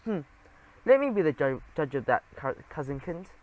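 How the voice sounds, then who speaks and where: A man's voice: fairly high pitch, sing-song, normal speed, rough recording. A man doing a greedy, wailing mouse cousin. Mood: bossy. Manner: loud, wailing and bossy. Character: greedy, whiny, pushy male mouse cousin. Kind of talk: audiobook character voice.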